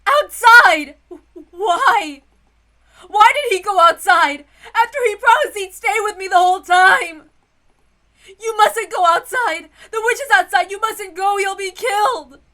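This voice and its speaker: A hysterical wife cries out in a very high, shrill, piercing voice. She is frantic and sobbing, stretching her pleas into loud wails, like someone lost in feverish panic. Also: exaggerated voice. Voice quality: shrill, wailing. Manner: frantic, sobbing, drawn-out pleading.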